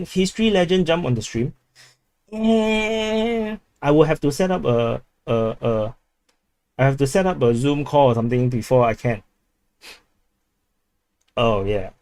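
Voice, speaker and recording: A man's voice: medium pitch, a little mellow, no echo. Singaporean man chatting on a dry livestream. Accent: Singaporean English. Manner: rambling, many restarts and fillers, reading chat aloud. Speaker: livestream host. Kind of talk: casual livestream chat.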